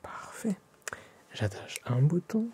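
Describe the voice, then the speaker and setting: A man with a French accent playing a courteous, attentive tailor in a whispered ASMR roleplay, speaking softly, politely and formally to a client. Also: fairly high pitch, very animated, breathy edge, heavily acted. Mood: calm, attentive. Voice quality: soft, hushed, often whispered. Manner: polite, formal, unhurried salesman-like.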